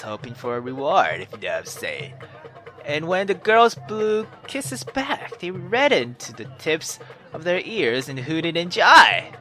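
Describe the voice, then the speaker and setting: A man reading a visual novel aloud theatrically while playing it, breaking into casual, sweary commentary. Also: sits high. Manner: theatrical, expressive, swears freely in asides. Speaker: video game player reading aloud with commentary. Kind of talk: dramatic visual novel narration with casual reactions.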